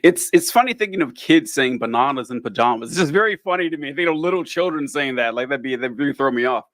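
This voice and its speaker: A man's voice: medium pitch, expressive, fast talker, a bit bright, no room sound. A man hosting a podcast in casual chat, rambling with lots of fillers and casual swearing. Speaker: podcast host.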